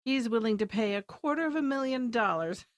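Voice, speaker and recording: An actress playing a sultry, boastful femme fatale villain in a noir radio drama, coy and taunting. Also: female voice, fairly high pitch, lively intonation, brisk, dry recording, studio quality, close to an everyday voice. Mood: gloating.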